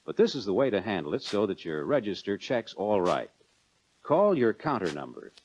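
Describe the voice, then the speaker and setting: An American man narrating a 1940s instructional film in a measured, instructive manner, addressing the viewer directly. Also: fairly low pitch, very animated, darkish tone, a little hiss or hum. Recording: dull lo-fi 1940s film soundtrack.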